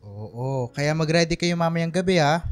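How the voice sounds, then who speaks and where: A man's voice: fairly high pitch, expressive, a little slow, clean tone. A man with a Filipino accent playing a teasing, generous father of two in a radio drama. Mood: easygoing. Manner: playful, affectionate teasing. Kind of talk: radio drama acting in casual family talk. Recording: music bed under the voice.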